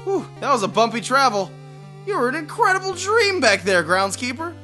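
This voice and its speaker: A man acts an eager young vampire-hunting hero in a comedy audio drama. His voice is high and lively, full of bluffing bravado. Faint music plays behind him. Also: fairly quick, full character voice. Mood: impressed, bluffing.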